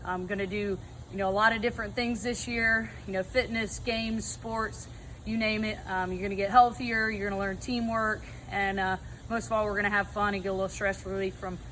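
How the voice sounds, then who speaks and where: A woman's voice: mid-range voice, fairly even intonation, a little fast, fairly bright tone, a little hiss or hum, low-quality recording. An American woman in her thirties, a PE coach, casually introducing herself to students. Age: 30s. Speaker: PE teacher and soccer coach.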